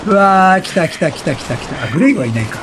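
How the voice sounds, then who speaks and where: A man hosting a let's play with excited, exclamatory commentary, often repeating words in bursts. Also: sits high, fast, noisy background. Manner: laughs often while talking.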